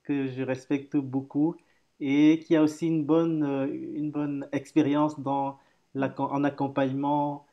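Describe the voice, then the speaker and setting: A man's voice: medium pitch, little pitch movement, unhurried, dull audio. A man in his thirties hosting a live video call, cheerful and hesitant, stumbling over repeated words and fillers. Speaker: host. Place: home office room.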